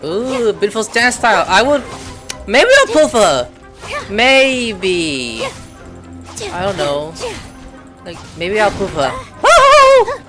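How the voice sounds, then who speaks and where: A woman's voice: mid-range voice, wildly swooping pitch, takes it slowly, pauses often, music in the background. A woman hosting a gaming video with casual, rambling commentary and exclamations, prone to swearing. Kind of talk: casual let's play commentary.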